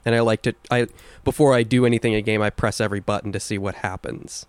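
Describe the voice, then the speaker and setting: A young man with a low voice, co-hosting a podcast in casual chat, earnest and deliberate with a dry wit. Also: steady tone, dry recording. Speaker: podcast co-host.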